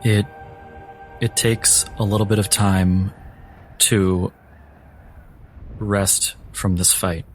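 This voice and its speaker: A man narrating a tabletop game as game master, with deliberate, grave phrasing. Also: sits low, a little hiss or hum.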